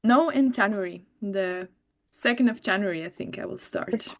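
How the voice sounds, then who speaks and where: A young woman from Switzerland with a Swiss German accent, a new medical doctor, giving an online workshop in a relaxed way with frequent fillers and giggles. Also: mid-range voice, normal speed. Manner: warm, giggly, many fillers. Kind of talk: casual online workshop talk. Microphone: video call.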